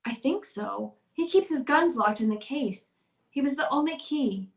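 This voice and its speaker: A woman's voice: high voice, lively intonation, unhurried. A woman playing an anxious, well-to-do wife in an old-time radio drama, pleading and earnest. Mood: grave, pleading. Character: anxious wealthy wife convinced her husband wants her dead. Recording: roomy, echoey sound.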